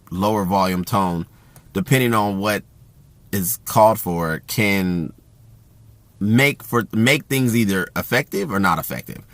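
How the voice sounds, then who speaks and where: A man talking casually about communication in an even, self-described monotone, with a loud voice that carries and plenty of fillers and restarts. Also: sits low, no echo. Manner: rambling with frequent fillers and restarts. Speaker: host.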